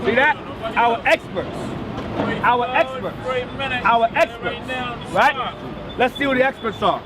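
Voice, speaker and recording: A man as a street preacher with a very high voice reading a text aloud word by word. He stresses each listed word as he reads. He sits in the middle of clear crowd chatter on a city street. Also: lively intonation, a little slow. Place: outdoors on a busy street. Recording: outdoor street recording with crowd noise.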